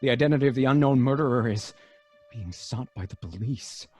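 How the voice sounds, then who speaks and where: Man playing a husky, earnest loyal friend. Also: medium pitch, a little fast, darkish tone, faint music behind, natural voice. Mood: earnest, probing. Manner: earnest, hesitant. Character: loyal, concerned male best friend, gently probing. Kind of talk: old-time radio drama acting.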